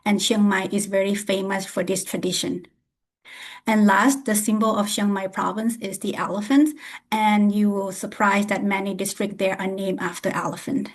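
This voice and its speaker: A woman's voice: medium pitch, fairly even intonation, moderate pace. Thai woman w/ accent, earnest student intro, bit shaky english grammar. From Thailand. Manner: earnest and grateful, slightly non-native grammar. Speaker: international scholarship student. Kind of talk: prepared self-introduction speech.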